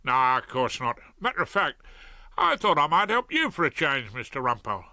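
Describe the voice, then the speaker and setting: A man playing a cheerful old South London villain, chatty and ungrammatical, with a working-class accent. His voice is bright and forceful, and it rises and falls a lot. Recorded close and dry. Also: mid-range voice, sing-song, normal speed, no room sound, heavily acted. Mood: confiding. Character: cheerful old petty-criminal grandfather. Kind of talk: audiobook character voice.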